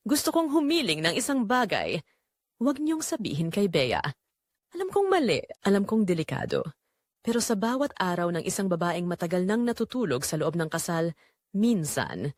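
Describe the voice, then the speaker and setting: Woman playing guilty middle-aged mother, confessional romantic drama, emotional storytelling, animated intonation, dry close sound. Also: medium pitch, dry recording. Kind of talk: scripted first-person confessional narration, romantic audio drama.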